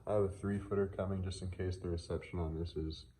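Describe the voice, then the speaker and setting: Low, mellow-voiced man, casual vlogger. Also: steady tone, fast talker, a little hiss or hum. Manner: laid-back, offhand, unscripted. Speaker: car vlogger showing truck modifications. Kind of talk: casual handheld vlog chat.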